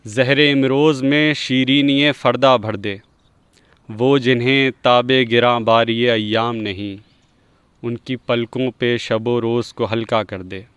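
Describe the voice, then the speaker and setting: A man presenting and reciting poetry at a literary gathering in a measured, earnest manner. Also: mid-range voice, fairly bright tone, clean tone, no echo. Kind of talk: poetry recitation with a short spoken introduction.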